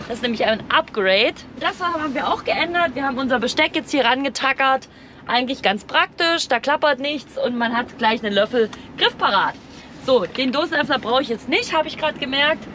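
A woman talks informally as a camping vlogger. She explains things in a chatty, everyday way, with clear outdoor and vehicle noise around her voice. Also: high-pitched, animated, a little fast, fairly bright tone. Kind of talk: casual vlog narration.

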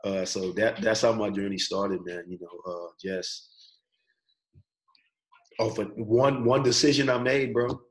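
Young Bahamian male guest, low-pitched, casual video-call interview, relaxed delivery. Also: a little slow, long pauses, poor audio. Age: young adult. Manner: relaxed, laid-back, sprinkles "bro" and "you know". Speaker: student athlete guest. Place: small indoor room. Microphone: webcam on video call.

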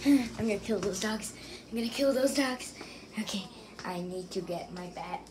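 A woman voicing a bossy, violent-tempered puppet chef in an erratic home-made comedy cooking show, shrill when worked up. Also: normal speed, natural voice. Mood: menacing. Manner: erratic, drawling then bursting into shouts. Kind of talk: improvised puppet comedy sketch. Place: home kitchen and living room, indoors. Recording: noisy handheld home video.

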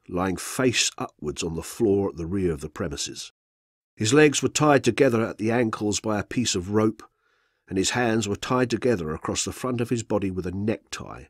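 A man reading a scripted true-crime documentary for radio in a measured, factual manner. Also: fairly low pitch, breathy edge, no echo, studio quality. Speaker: narrator, reader of a true-crime story.

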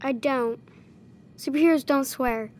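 A woman voicing an imaginative ten-year-old boy who talks slowly and sincerely. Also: high-pitched, a little mellow, a little hiss or hum. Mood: earnest. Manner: slow, hesitant, sincere.

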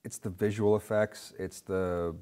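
A man's voice: low-pitched, steady tone, measured pace, dark tone, smooth. A man in his forties chatting casually as a guest, rambling and emphatic, often repeating himself. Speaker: guest on a film discussion show. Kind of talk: casual chat. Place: indoor studio stage with curtain. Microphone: lapel mic.

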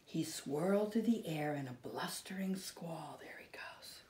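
A middle-aged American woman reads a children's picture book aloud in a lively, swooping voice. She takes her time, stretching words for drama and dropping into hushed asides. The sound is echoey, from a home room. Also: deep voice, a little hiss or hum. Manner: slow, drawn-out dramatic emphasis, hushed asides. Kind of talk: expressive children's storybook reading. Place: living room, indoors. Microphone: camera a short distance away.

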